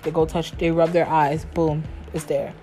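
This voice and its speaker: A woman's voice: low-pitched, flat delivery, normal speed, mellow, faint music behind. A woman hosting her own show, talking loosely and casually on her own, with slang and frequent restarts. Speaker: podcast host. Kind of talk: casual solo chat.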